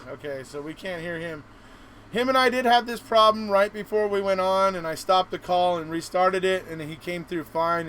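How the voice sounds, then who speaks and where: A man's voice: fairly high pitch, a bit bright, a little hiss or hum, low-quality recording. A man hosting a live stream in a casual, chatty style.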